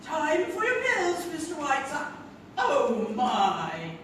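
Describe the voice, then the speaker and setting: A woman playing a prim, fussing nurse in a comic stage play, gently scolding. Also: high-pitched, huge pitch swings, slow pace, exaggerated voice. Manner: fussy, scolding. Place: live theatre stage. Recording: echoey theatre from far off, faint noise.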